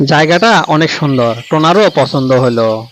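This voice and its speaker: A man's voice: fairly high pitch, lively intonation, moderate pace, a bit bright, slight background noise, low-quality recording. A man narrating a scripted children's story as the storyteller.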